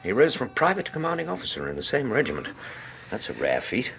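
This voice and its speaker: An actor playing a hearty, loyal Victorian ex-army gentleman with an English accent, bluff and old-fashioned in a British radio drama. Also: medium pitch, huge pitch swings, quick pace, gravelly, a little hiss or hum, full character voice. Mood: attentive.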